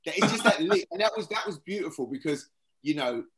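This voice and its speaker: A man, a guest in a casual video-call chat, telling stories in an animated way and often restarting his sentences. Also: fairly high pitch, a little fast. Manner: animated storyteller, repeats himself.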